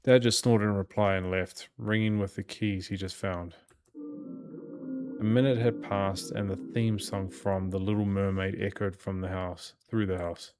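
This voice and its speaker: A man's voice: fairly low pitch, animated, unhurried, darkish tone. A man reading visual novel narration aloud as a let's play host, occasionally stumbling and repeating phrases. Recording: game music behind the voice. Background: horror game music.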